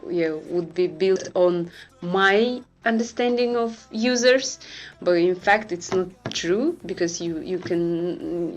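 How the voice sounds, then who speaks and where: A woman's voice: fairly low pitch, highs cut off. A young woman, a master's student, reflecting hesitantly on her background. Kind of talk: interview.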